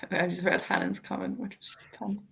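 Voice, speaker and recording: A woman in casual conversation, thinking out loud in an informal way. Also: medium pitch, flat delivery, moderate pace, vocal fry. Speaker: book discussion co-host. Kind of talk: casual chat about books.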